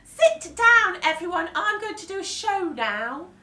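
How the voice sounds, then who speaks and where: A horrid, cheeky little boy from a children's storybook, put on by a woman reading aloud. He is loud and demanding, with a bright, sharp tone. The voice sits back from the mic in a roomy space. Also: very high-pitched, very animated, unhurried, voice pushed up, exaggerated voice. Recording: echoey room, voice from a distance.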